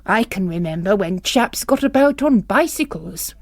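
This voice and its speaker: A woman's voice: mid-range voice, lively intonation, moderate pace, no echo. A woman voicing a pompous, impatient male bishop with a blustering, emphatic manner, in an audiobook-style drama. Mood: impatient, brisk.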